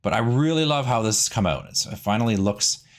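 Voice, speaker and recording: Fast-talking, lively-pitched man hosting gaming videos. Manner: chatty, rambling, easygoing. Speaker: host of a gaming series. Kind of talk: casual gameplay commentary.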